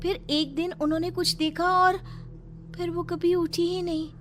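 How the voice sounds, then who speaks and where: A woman's voice: very high voice, little pitch movement, unhurried, faint music behind. A woman voicing an anxious young college girl with eerie premonitions in a horror cartoon, earnest and dramatic. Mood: uneasy. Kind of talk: Hindi horror cartoon dubbing.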